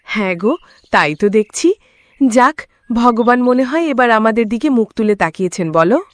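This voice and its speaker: A woman's voice: on the high side, animated, normal speed, no room sound, close to an everyday voice. A woman playing a devoted, devout farmer's wife in a village cartoon, speaking earnestly and caringly. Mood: pleased. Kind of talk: Bengali cartoon voice acting.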